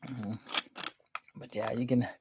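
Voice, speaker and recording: A soft-spoken woman chatting casually and rambling between topics on a live stream. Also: rumbling low, fairly even intonation, takes it slowly, background noise.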